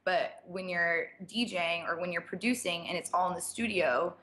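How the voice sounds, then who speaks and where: A young woman with a slight rasp, answering fan questions in a loose, chatty way. She fills her talk with "like" and small laughs, and sits a touch lower in pitch than most women. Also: moderate pace, fairly bright tone. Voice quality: slightly raspy. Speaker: DJ and producer. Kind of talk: casual live-stream Q&A, reading viewer questions.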